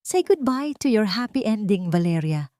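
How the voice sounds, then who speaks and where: A woman's voice: medium pitch, normal speed, dry recording, studio quality, pitched above the performer's own voice, lightly acted. Woman acting a cold, gloating villainess. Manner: calm, menacing, mocking. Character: cold, gloating, murderous villainess taunting her captives.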